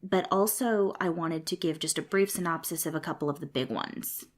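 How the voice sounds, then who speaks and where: A woman with a slightly low voice hosts her own podcast, explaining things in a chatty, friendly way and repeating points for emphasis. A cold leaves her a bit snuffly and congested. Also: normal speed. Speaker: podcast host. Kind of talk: casual explanatory podcast monologue. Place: a small home recording room.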